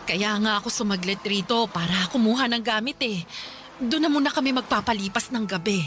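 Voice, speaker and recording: A woman's voice: medium pitch, fairly quick, fairly bright tone, soft background music, lightly acted. An actress playing a caring, harried mother who fusses and reassures in a radio drama. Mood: reassuring, harried. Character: caring, harried mother of a poor fishing family.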